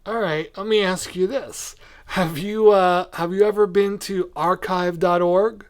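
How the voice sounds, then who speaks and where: A man's voice: high voice, fairly even intonation, measured pace. A man hosting a podcast, chatting casually with a guest in an interview style. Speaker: podcast host.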